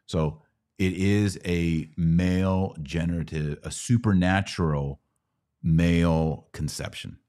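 A man's voice: low voice, steady tone, slow pace, dark tone. A middle-aged American man hosting a live Q&A, teaching informally in short, conversational pieces. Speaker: podcast host. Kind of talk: live Bible Q&A, casual teaching.

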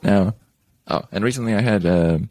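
Low-voiced, enthusiastic male podcast host. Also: a little mellow, dry recording. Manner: enthusiastic, quick to praise, informal. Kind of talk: casual interview.

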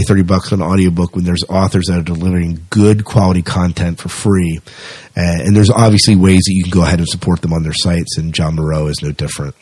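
A man's voice: deep voice, a little mellow, no room sound. A middle-aged man chatting casually as the host of a podcast.

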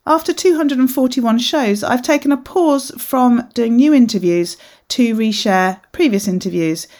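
A woman hosts her podcast with a high, bright-sounding voice. She reads her opening and then asks warm, encouraging questions of her guest. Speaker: podcast host. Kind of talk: scripted intro and interview questions.

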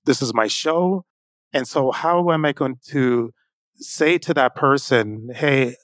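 A man hosting a conversational podcast interview, speaking in a measured, reflective way. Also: mid-range voice, dry recording. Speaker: podcast host.

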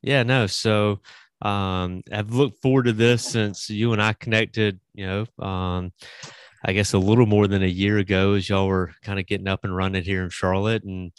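A man's voice: on the low side, little pitch movement, moderate pace, smooth, no echo. A man hosting a podcast interview, chatty and rambling with many fillers. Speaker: podcast host.

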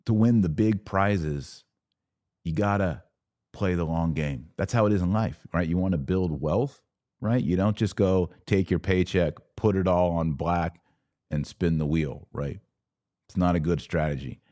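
A man with a low-pitched voice speaks as a podcast host in a dry, close recording. He delivers opinion commentary as a monologue to his viewers. His manner is didactic and emphatic, punctuated with rhetorical check-ins. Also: unhurried, pauses often, mellow, dry recording.

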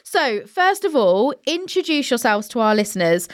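A woman's voice: on the high side, very animated, normal speed. Upbeat woman podcast host. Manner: upbeat, enthusiastic. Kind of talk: podcast hosting.

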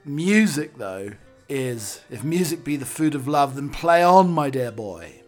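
A man with a croaky voice hosting a podcast, delivering scripted comic monologues and readings in a wry, theatrical manner. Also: a little high, expressive, unhurried, darkish tone, faint music behind. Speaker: podcast host.

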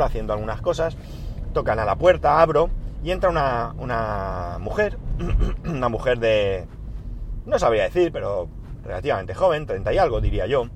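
A man, speaking at a medium pitch, records a casual audio diary inside his car. His throat is sore, and he clears it now and then. He thinks out loud with plenty of fillers and stops to correct himself. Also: slight background noise. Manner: rambling, self-correcting, thinks aloud with many fillers. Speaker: podcast host recording a daily audio diary. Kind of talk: casual spoken diary monologue.

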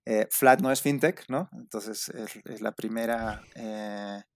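A man in his forties from Spain whose Spanish accent has picked up some Mexican tones, answering as a founder guest in a relaxed interview with many fillers and restarts. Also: on the low side, slow, no echo. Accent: Spanish with some Mexican influence.

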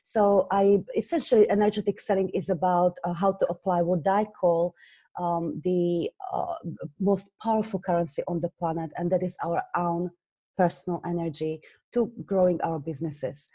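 A woman from Slovakia speaks with a Slovak accent in a low, mellow tone. She tells her story earnestly in an interview, often stopping on small fillers as she goes. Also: fairly even intonation, normal speed. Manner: earnest, hesitant with many fillers. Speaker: guest, business coach. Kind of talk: conversational interview.

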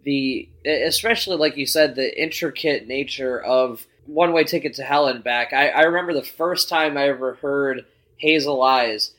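A man's voice: medium pitch, moderate pace, fairly bright tone. A man chatting on a podcast, rambling through memories with plenty of fillers. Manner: rambling, many fillers and restarts. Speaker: podcast co-host. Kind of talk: casual podcast chat.

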